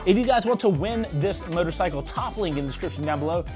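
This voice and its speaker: A man's voice: sits high, animated, fast. A young man, hosting his motorcycle vlog in a casual, wry, complaining way. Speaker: motorcycle vlogger, show host. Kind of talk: casual chatty vlog narration. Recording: background music under the voice.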